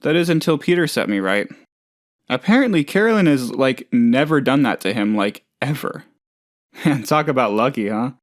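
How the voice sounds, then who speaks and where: Man voicing a rambling, naive oddball with swooping pitch. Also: lively intonation, normal speed, dry recording, clean studio sound, close to an everyday voice. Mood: grateful. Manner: rambling, hesitant, naive, chatty. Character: hapless, trusting, lonely male oddball, rambling and good-natured. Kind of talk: video game character dialogue.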